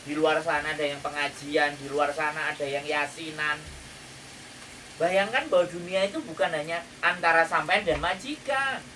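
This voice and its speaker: A man's voice: on the high side, normal speed, pushed and bright. A man with a Javanese accent giving advice in an emphatic, lecturing chat, often checking with rhetorical questions. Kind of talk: casual counselling chat. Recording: distant mic, noisy kitchen with frying.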